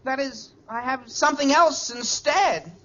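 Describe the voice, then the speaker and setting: Hapless, earnest teen son, old radio comedy, high piercing voice, muffled vintage recording. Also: male voice, measured pace, fairly bright tone, slight background noise. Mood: sheepish, anxious. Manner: hedging, sheepish, earnest. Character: earnest, hapless teenage boy, sheepish son.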